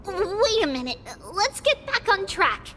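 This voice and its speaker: Bubbly, very high-voiced little girl. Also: unhurried, soft background music, full character voice. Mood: earnest. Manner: excitable, third-person childlike talk. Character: bubbly childlike little girl who speaks of herself by name. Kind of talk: anime-style game voice acting.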